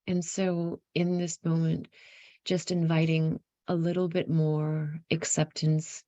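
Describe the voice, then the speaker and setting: A woman leading a guided meditation, giving gentle instructions in an unhurried, softly inviting way with pauses mid-phrase. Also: sits low, little pitch movement, no room sound, lo-fi sound.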